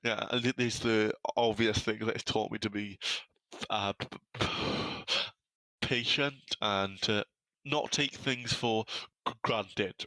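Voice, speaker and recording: A man's voice: lowish voice, steady tone, slow pace, bright, forceful tone, dry recording, rough recording. A young man from South Wales with a Welsh accent, a podcast guest who speaks earnestly and stammers on some words. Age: young adult. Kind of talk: podcast interview.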